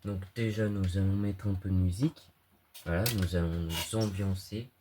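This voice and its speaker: A man's voice: sits low, steady tone, normal speed, background noise. A young man with a cold talks through an electronics project casually, hesitating often and using slang. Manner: hesitant, many fillers, slangy. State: stuffy with a cold. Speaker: hobbyist electronics youtuber. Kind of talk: casual screen-recorded tutorial.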